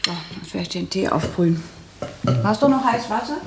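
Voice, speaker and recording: An actress gives us a young woman of a rooming house in a radio drama. She is chatty and practical, her medium-pitched voice edged with fry, and she speaks with plain, matter-of-fact curiosity. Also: animated, a little fast, background noise, close to an everyday voice. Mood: chatty, inquisitive. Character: chatty, inquisitive young landlady's daughter. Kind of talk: German radio play acting.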